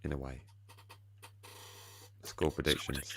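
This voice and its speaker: Tired-sounding deep-voiced man. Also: level tone, slow, slight background noise. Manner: rambling, self-deprecating. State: tired, slightly deeper voice than usual. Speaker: podcast host. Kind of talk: casual football chat.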